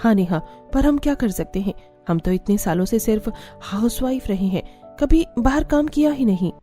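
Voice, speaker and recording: A woman's voice: fairly high pitch, expressive, normal speed, warm tone, soft background music, close to an everyday voice. A woman playing a teasing, resigned elder sister-in-law in a cartoon story. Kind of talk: Hindi cartoon story acting.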